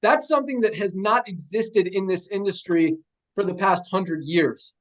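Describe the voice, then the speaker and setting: An emphatic, upbeat man hosting a team video call. His voice is notably high, and the call audio sounds muffled and lo-fi. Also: normal speed. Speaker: call host.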